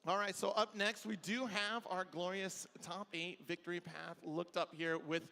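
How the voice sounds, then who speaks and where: A man's voice: high-pitched, fairly even intonation, normal speed, creaky, pushed and bright. A man hosting live esports commentary in an enthusiastic, effusive way. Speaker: esports commentator and desk host. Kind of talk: live broadcast commentary banter. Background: faint music.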